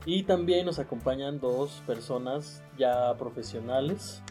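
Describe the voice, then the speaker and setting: A man's voice: mid-range voice, measured pace, mellow, faint music behind. Joking Mexican man hosting a chat show. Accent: Mexican Spanish. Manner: joking, playful, swears casually. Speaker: host. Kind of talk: casual comedy chat show.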